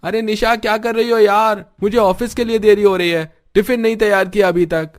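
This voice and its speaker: A man's voice: high-pitched, fairly even intonation, a little fast, no echo, studio quality, close to an everyday voice. A man voicing a young husband, affectionate but demanding, in an Indian cartoon family story with emphatic delivery. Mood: impatient.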